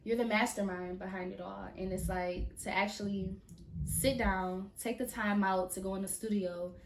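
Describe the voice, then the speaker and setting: Young woman, relaxed and slangy. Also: mid-range voice, moderate pace, echoey room, distant mic, a little hiss or hum. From Mississippi, USA. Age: young adult. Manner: relaxed, slangy, emphatic repetitions. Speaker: guest choreographer. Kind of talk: casual interview. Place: podcast studio.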